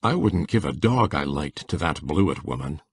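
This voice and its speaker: A man playing a shy, kindly old bachelor farmer with a gravelly, husky voice and a mild, folksy manner, in an audiobook drama. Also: rumbling low, expressive, moderate pace, dry recording, heavily acted. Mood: gentle, pleased.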